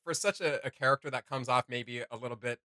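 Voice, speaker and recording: A young man with a bright, forceful voice. He chats as a reaction host, casual, slangy and keen, in a close, dry recording. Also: mid-range voice, fast, no room sound. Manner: enthusiastic, slangy. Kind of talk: casual chat, fan reaction.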